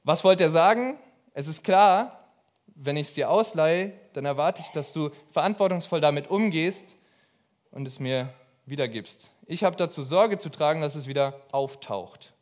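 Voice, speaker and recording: A man's voice: fairly high pitch, expressive. Earnest young preacher man. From abroad. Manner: earnest, emphatic, pauses often between phrases. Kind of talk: sermon.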